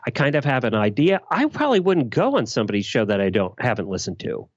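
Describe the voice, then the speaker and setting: A man's voice: mid-range voice, sing-song, quick pace, no room sound, lo-fi sound. A man giving blunt, emphatic answers in a conversational podcast interview. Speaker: podcast guest.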